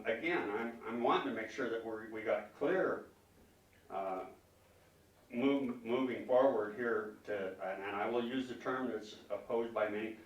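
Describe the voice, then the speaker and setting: A man speaking as a concerned citizen at a public meeting, arguing emphatically and haltingly, often repeating words as he questions officials. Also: on the low side. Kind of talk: public comment, argumentative questioning. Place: public meeting room, indoors. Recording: echoey room, distant microphone.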